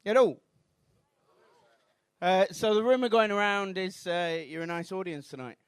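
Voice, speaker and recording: Mancunian comedian, high voice, dry sound. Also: male voice, moderate pace, clear, resonant tone, no echo. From Manchester, England. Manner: rambling, self-deprecating, with bursts of shouted outrage. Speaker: stand-up comedian. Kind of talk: stand-up routine. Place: comedy club stage.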